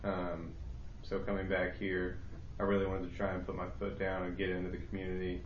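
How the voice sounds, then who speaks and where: A man's voice: deep voice, completely flat delivery, brisk. A young man in his twenties introducing himself at a public meeting, hesitant, with many fillers. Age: 20s. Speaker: commission applicant. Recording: echoey room, dull lo-fi sound, hiss.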